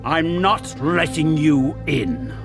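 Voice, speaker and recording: An actor playing a hostile, commanding captain of soldiers who speaks with contempt in a fantasy video game. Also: male voice, a little high, slow, vocal fry, music in the background. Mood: contemptuous, commanding. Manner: commanding, scornful.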